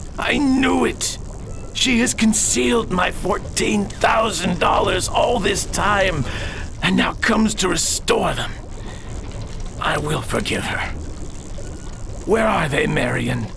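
An actor playing an obsessed, bitter elderly alchemist father in a radio drama, creaky and theatrically declamatory. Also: sits high, lively intonation, slow pace, pauses often, music in the background, exaggerated voice. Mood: bitter accusing.

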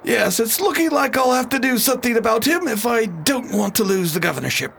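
Male actor as governor, wryly amused, animated, creaky, theatrical radio drama, faint background noise. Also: very high voice, fairly quick, heavily acted.

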